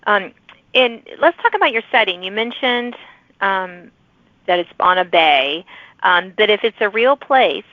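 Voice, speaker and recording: A woman's voice: mid-range voice, lively intonation, unhurried, dry recording. A woman with a mid-range voice hosts an interview in a conversational, affirming manner. The sound is dry and close, squeezed into a thin phone-like band. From lives in Virginia, United States. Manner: encouraging, affirming. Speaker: podcast host, author.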